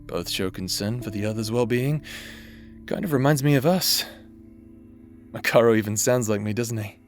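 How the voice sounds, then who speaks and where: A man's voice: medium pitch, normal speed, faint music behind, close to an everyday voice. A man voicing a remorseful necromancer husband who now haunts his partner's memory, speaking tenderly and teasingly in a roleplay audio drama. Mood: wistful. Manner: tender, teasing, softly spoken.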